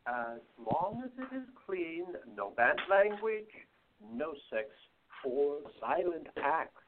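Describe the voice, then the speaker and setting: Man as strict older station boss, slow, lively pitch, tinny line. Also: a little hiss or hum. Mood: stern. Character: older male radio station manager, sharp-minded. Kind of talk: amateur radio play acting. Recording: tinny phone-like sound.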